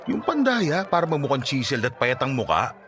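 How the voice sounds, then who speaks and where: A man's voice: medium pitch, very animated, brisk, crowd noise, close to an everyday voice. A man playing a vain, touchy young boyfriend in a radio drama, with a teasing, defensive, conversational manner. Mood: playful, sassy. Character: young makeup-loving boyfriend, vain, touchy, playfully sassy.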